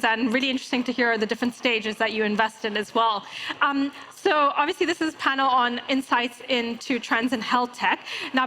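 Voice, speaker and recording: A woman's voice: high-pitched, pushed and bright, rough recording. A woman moderating an investor panel on a conference stage in a conversational style. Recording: faint ambient noise, live event stage.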